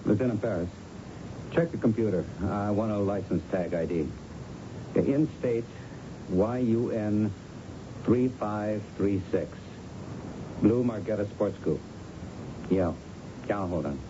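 An actor playing a patient, persistent police lieutenant in a radio drama, measured, probing and coaxing. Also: male voice, lowish voice. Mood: brisk, alert. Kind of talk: 1970s radio drama acting. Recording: noisy, dull 1970s radio broadcast recording.

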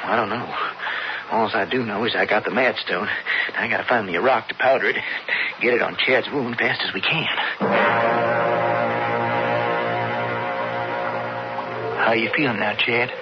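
An actor playing an earnest, plucky young cowboy with a folksy, urgent manner in a radio drama. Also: male voice, fairly low pitch, fairly quick, over music, lightly acted. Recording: muffled, hissy old radio recording.

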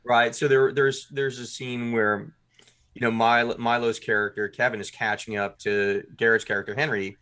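A man's voice: medium pitch, flat delivery, moderate pace, pushed and bright. A man talking as a guest in a podcast interview, explaining his production work in detail and acting out short examples.

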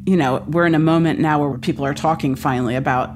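A woman's voice: low-pitched, steady tone, fast talker, darkish tone. An American woman speaks as an expert guest in a radio interview. She explains her points emphatically and articulately, with light music under her voice.

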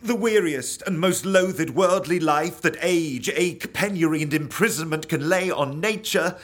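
An actor playing a fearful, grave Shakespearean courtier with portentous declamation in a comedic audio drama. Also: male voice, a little high, unhurried, a bit bright. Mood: dread.

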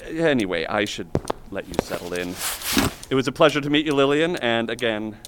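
Small-town mayor's aide, male actor, audio drama: chirpy, officious, awkward, very lively intonation. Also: mid-range voice, fairly quick, heavy background noise, natural voice. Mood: chatty, eager. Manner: officious, chirpy salesman-like patter. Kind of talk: fiction podcast acting.

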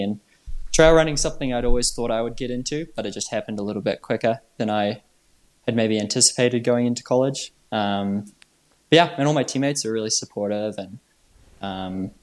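A man in his twenties from New Zealand, chatting casually as a podcast guest in a laid-back, halting, slangy way. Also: lowish voice. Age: 20s. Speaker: podcast guest, trail runner. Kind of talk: casual chat.

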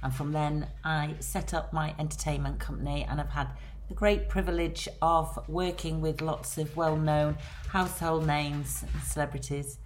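A middle-aged woman with a Lancashire accent, chatting casually as a podcast guest and filling pauses with ums. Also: low voice, steady tone, a little hiss or hum, low-quality recording. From England. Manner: chatty, rambling, many ums. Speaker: guest, celebrity agent.

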